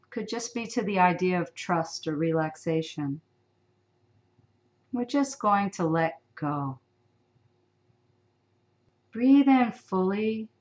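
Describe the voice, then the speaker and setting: Slow, soothing woman guiding meditation. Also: lowish voice. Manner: soothing, unhurried, with long pauses. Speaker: meditation guide. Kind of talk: guided meditation.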